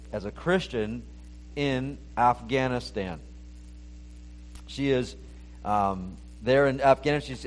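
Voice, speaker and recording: A man preaching a sermon, earnest and steady, his voice rising when he reads scripture aloud. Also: medium pitch, rough recording. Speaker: pastor.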